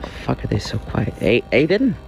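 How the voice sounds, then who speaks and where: A man's voice: mid-range voice, sing-song, normal speed, creaky, a little mellow. A man playing a panicking young man in found-footage horror, swearing and repeating words in disbelief. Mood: alarmed disbelief. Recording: muffled lo-fi film clip with music.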